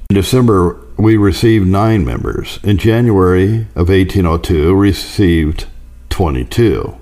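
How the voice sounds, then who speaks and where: A man with a deep voice reads a scripted history as an audiobook. His delivery is formal and measured, yet his pitch moves widely across each sentence. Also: a little mellow. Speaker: audiobook reader. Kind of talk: scripted narration of church history.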